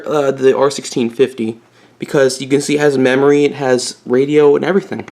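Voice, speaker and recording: A man's voice: fairly high pitch, creaky, warm tone. A man giving a casual, unscripted show-and-tell as a hobbyist video host, rambling a bit and correcting himself with mild enthusiasm.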